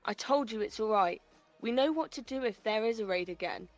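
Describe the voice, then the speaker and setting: A woman's voice: a little high, expressive, a little fast, faint music behind. A British woman playing a blunt, streetwise, reassuring club crew member in an audio drama. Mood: reassuring, impatient.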